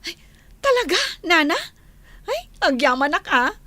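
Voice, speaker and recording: A woman's voice: extremely high pitch, very animated, unhurried, sharp tone, dry recording, heavily acted. An actress playing a sweet-talking, scheming young village woman with an Ilocano accent, coaxing expressively in a comedy radio drama. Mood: appreciative, cheerful.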